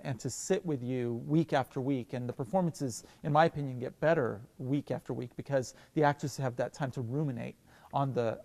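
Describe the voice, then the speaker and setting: A man's voice: mid-range voice, a little mellow, in a large hall. A man, a theatre director, answering interview questions in a thoughtful, enthusiastic way with many fillers and restarts.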